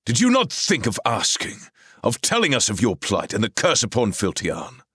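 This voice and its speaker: A man's voice: mid-range voice, huge pitch swings, moderate pace, fairly bright tone, slightly rough, dry recording, clean studio sound, voice pushed up, heavily acted. A man voicing a stern, commanding warrior king who speaks with firm authority. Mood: reproving. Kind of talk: audiobook character voice.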